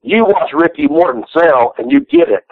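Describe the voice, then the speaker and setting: A middle-aged Southern man spins loose, salty yarns, cursing freely and doubling back on his words. His voice sits a touch high for a man. It comes through a narrow, tinny phone line with no room sound at all. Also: sing-song, moderate pace, vocal fry, dry recording. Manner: rambling, profane, folksy, restarts a lot. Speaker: retired pro wrestler guest. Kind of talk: candid storytelling interview.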